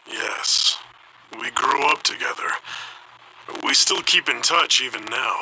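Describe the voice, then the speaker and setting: A man voicing a reserved, wistful young man in a video game, measured and gently reflective. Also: mid-range voice, background noise. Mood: wistful, subdued. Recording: music behind the voice.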